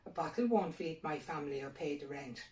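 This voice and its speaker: A woman's voice: low voice, animated, fairly quick, darkish tone, roomy sound, distant mic. A woman voicing a poor, wary and gullible farmer husband in a storybook folktale. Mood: indignant.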